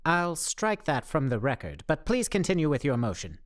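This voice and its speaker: An actor playing a pompous, verbose young oddball who treats everything as an official survival log in a radio play. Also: male voice, fairly high pitch, sing-song, moderate pace. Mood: officious. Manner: mock-official, verbose, self-important.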